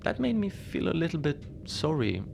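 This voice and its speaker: A man playing a lonely, unbothered polar station keeper, telling strange tales in a halting, understated, conversational way for a fiction podcast. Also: fairly high pitch, gravelly, heavily acted. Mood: wistful, musing. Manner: halting, conversational, understated storytelling with small hesitations. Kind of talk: cozy horror audio fiction monologue. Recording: steady noise behind the voice.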